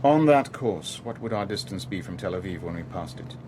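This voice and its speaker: An actor playing a cool-headed ship owner who bluffs and narrates his own thriller in a measured, controlled way for a radio drama. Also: deep voice, expressive, mellow, slight background noise. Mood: firm. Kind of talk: radio drama acting and first-person narration.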